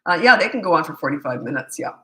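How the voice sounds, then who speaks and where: A woman's voice: sits low, big pitch swings, rapid-fire, fairly bright tone, echoey room. Woman in her sixties, fading cold-stricken voice. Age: 60s. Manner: blunt, matter-of-fact advice with frequent rhetorical questions. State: has a cold, voice giving out. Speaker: parenting coach. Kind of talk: live-stream Q&A.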